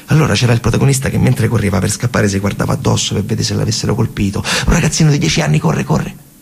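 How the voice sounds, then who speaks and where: A man with a Roman accent delivering a dramatic theatre monologue in a colloquial, emphatic way, his voice husky and gravelly. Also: lowish voice, racing. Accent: Roman Italian. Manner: colloquial, emphatic storytelling with repeated phrases. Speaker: stage actor and storyteller.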